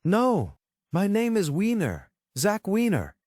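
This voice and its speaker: Woman as slow, earnest, hapless guy. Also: mid-range voice, huge pitch swings, darkish tone, no room sound, studio quality, heavily acted. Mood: earnest, indignant. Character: earnest, hapless, fussy male loser. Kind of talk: stage script table read.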